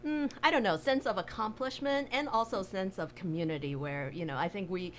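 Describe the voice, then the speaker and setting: A woman from Taiwan, a bakery co-owner, talking casually in an interview, hesitant with many fillers and quick to laugh. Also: medium pitch.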